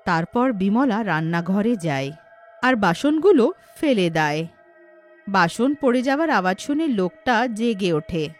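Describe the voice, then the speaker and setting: A woman's voice: medium pitch, sing-song, a little slow, faint music behind. A woman narrating a scripted horror story as storyteller.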